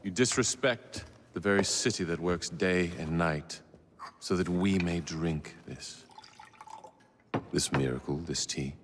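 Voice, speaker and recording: An English actor playing a haughty, menacing Victorian industrial tycoon in a video game, speaking in pompous, deliberate oratory. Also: male voice, low-pitched, background noise. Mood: indignant. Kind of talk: dramatic video game acting. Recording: reverberant hall sound.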